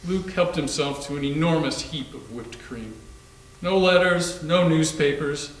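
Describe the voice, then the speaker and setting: A man's voice: fairly high pitch, measured pace. A man reading scripted story narration for readers theater. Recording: echoey room, distant mic, faint noise.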